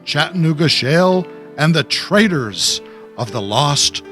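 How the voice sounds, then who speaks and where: A man's voice: a little high, animated, a little slow, a bit bright. A man playing a grand, melodramatic announcer, narrating the introduction to an adventure audio drama. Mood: grand, excited. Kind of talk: dramatic serial-style scripted introduction. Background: music.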